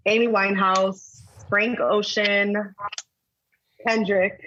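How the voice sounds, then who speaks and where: A woman guest on a casual podcast, talking in a relaxed, hesitant way with many fillers. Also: mid-range voice, takes it slowly, lo-fi sound, slight background noise.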